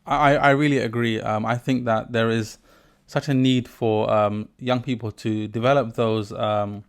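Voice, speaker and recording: A man's voice: mid-range voice, fairly even intonation, a little slow, clear, resonant tone. A man hosting a podcast, reading a sponsor message and then talking through his thoughts in conversation. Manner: reflective, thoughtful conversational delivery. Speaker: podcast host and musician. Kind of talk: podcast interview and sponsor read.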